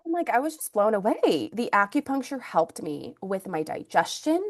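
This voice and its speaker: A woman's voice: medium pitch, sing-song, normal speed. Interview guest: woman in her thirties, Russian first language, from Ukraine, chatty storyteller with lots of fillers. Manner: chatty, many fillers and restarts. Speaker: guest, naturopathic doctor and acupuncturist.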